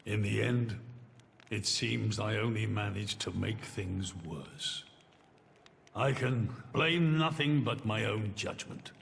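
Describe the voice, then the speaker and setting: An actor playing a solemn, regal monarch in a video game, speaking formally and gravely with weighty pauses. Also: male voice, on the low side, a little hiss or hum, heavily acted. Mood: solemn, measured. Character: solemn, regal male monarch burdened by his judgment. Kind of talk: dramatic video game cutscene acting. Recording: loud music behind the voice.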